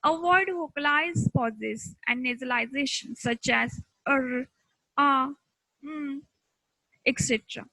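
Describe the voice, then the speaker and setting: A woman with an Indian accent giving a scripted lesson as an instructor, speaking deliberately in short, halting chunks. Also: sits high, gravelly, sharp tone, dry recording, low-quality recording.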